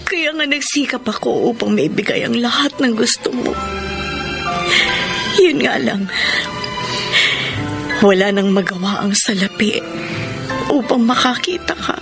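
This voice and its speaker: A tender, remorseful mother in a radio drama. Her voice sits a little high and moves slowly, in hushed, halting phrases full of feeling. Music plays behind her. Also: gravelly. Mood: tearful remorse. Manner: slow, halting, hushed and emotional delivery. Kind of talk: Filipino radio drama acting.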